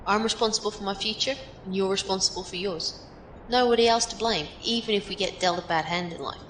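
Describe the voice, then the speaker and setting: A plainspoken female mentor played by an actress in an audio drama, talking frankly and encouragingly like a survivor who has thought life through. Her mid-pitched voice sits in a roomy echo with faint noise behind it. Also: a little fast, fairly bright tone, lightly acted. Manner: matter-of-fact, advising.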